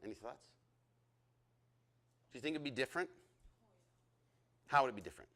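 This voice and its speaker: Excitable man teaching a group. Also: medium pitch, brisk. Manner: excitable, talks a lot, asks the group questions. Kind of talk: interactive leadership lesson.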